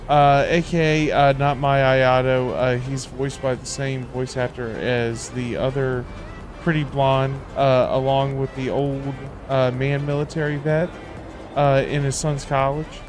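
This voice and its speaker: A man livestreaming a game, chatting casually in a halting, informal, slangy way. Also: medium pitch, little pitch movement, over music. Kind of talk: casual gaming livestream chat.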